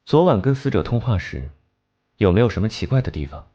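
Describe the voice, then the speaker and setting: An actor playing a cold, clever male investigator in an animated crime drama, reasoning in a measured, analytical way. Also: fairly low pitch, muffled recording. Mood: probing, composed. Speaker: detective section chief.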